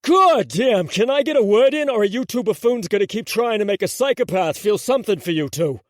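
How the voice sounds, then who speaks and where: A man's voice: high voice, big pitch swings, a little slow, a little mellow, dry recording, studio quality, voice pushed up. A man doing a cocky, hot-tempered young man. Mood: furious. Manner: smooth then hollering.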